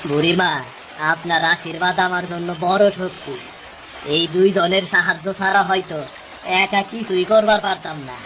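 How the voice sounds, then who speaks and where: A woman's voice: lowish voice, steady tone, normal speed, slight background noise. A woman voicing a weary, humble, hardworking fisherman in a cartoon, earnest and grateful, with an eastern Bengali rural dialect. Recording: loud music behind the voice.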